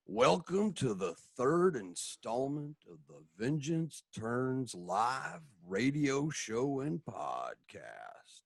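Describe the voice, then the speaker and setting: A man's voice: on the low side, no echo. A man giving dramatic scripted narration for a radio drama.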